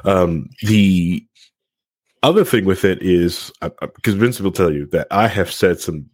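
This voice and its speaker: A man's voice: sits low, a little slow, a little mellow. A man hosting a podcast in casual group banter, rambling along and poking fun at himself. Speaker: podcast host.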